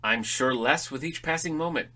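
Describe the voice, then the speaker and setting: A man's voice: fairly high pitch, normal speed, pushed and bright. A man playing a grumpy, dryly sarcastic middle-aged father in an audio drama comedy. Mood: grumbling, wry. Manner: dry, sarcastic, exasperated.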